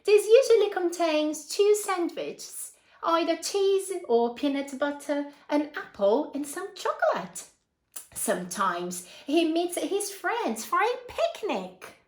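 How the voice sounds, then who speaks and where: A woman with a high, very lively voice reads a children's story at an unhurried pace. She is expressive and often turns to her young listeners with prompting questions, as a storyteller would. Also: reverberant. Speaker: storyteller reading a picture book to children. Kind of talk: animated children's read-aloud with asides and questions.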